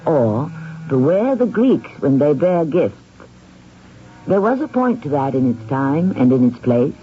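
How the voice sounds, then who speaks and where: A man's voice: a little high, big pitch swings, unhurried. A man hosting and narrating an old-time radio drama in a scripted, erudite, theatrical manner. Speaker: host and narrator. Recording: muffled radio recording with hum.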